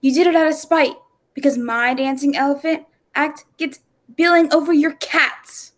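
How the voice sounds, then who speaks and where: A woman's voice: sits high, fairly even intonation, moderate pace, lightly acted. A woman playing an indignant circus star in a 1940s-style radio play.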